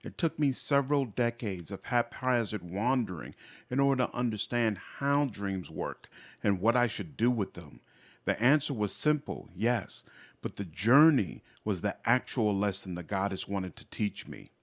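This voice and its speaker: Man as a gentle, remorseful reformed demoness. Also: fairly low pitch, lively intonation, moderate pace, natural voice. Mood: reflective.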